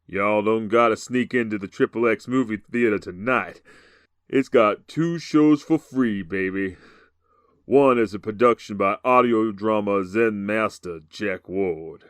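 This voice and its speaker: Man playing a slow, creepy, lewd old man. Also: lowish voice, fairly bright tone, clear, resonant tone, dry recording. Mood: sly, lewd. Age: older. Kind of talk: comedic horror radio host.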